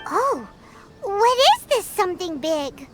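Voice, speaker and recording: Tiny fairy sidekick girl, squeaky extremely high voice, swooping, excitable, indignant, cartoonish game style, loud music behind. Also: slow, full character voice. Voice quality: squeaky, childlike. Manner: refers to herself by name, exclamatory.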